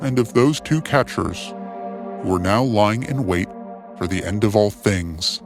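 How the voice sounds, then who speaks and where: A man delivers scripted dramatic narration at a slow, deliberate pace. His voice sits fairly low and stays solemn and measured. Music plays clearly underneath him. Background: music bed.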